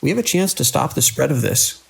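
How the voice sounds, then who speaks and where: Guy acting a hospital director in a horror audio drama, husky gravelly voice, earnest n urgent, trying to save everyone. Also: mid-range voice, very animated, exaggerated voice. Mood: resolute, urgent. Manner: earnest, urgent, halting between phrases. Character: beleaguered, idealistic male hospital director, defiant in crisis.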